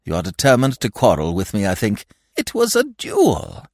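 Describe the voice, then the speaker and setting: A man reading aloud and voicing a testy, blustering elderly nobleman who grows shrill when crossed. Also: wildly swooping pitch, normal speed, a little mellow, no room sound, studio quality, full character voice. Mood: impatient. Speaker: provincial lord and godfather.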